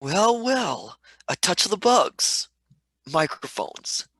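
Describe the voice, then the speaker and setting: A cheeky young Cockney man played by a male actor in a radio play, skeptical and slangy. The voice is bright and forward with very lively swings of pitch, recorded close and dry. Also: takes it slowly, dry recording, full character voice. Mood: wry. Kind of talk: live script reading, radio play.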